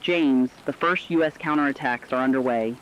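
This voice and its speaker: A stern man acting as a war correspondent with a mid-pitched voice. His scripted report is solemn and emphatic. It comes through dull, lo-fi radio sound with rain behind. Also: normal speed, a little hiss or hum, lightly acted. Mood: grave, resolute. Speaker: news correspondent.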